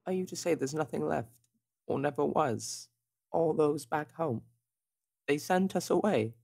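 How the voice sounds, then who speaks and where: A man's voice: on the high side, lively intonation, measured pace, creaky, darkish tone, exaggerated voice. A man playing a centuries-old devout seer in a tabletop game, earnest, formal and theatrical. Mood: shaken.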